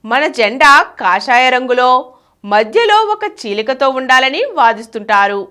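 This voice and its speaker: A woman's voice: on the high side, animated, normal speed, pushed and bright. A woman presenting a scripted article in a formal, emphatic, phrase-by-phrase read. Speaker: channel presenter reading an article.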